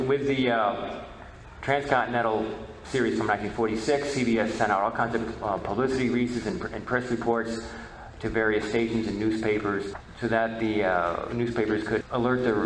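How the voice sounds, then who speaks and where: Low-voiced man lecturing in an echoey hall. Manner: halting, repeats words. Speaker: presenter and media researcher. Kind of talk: informal history lecture. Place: reverberant presentation hall. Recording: echoey hall, faint background noise.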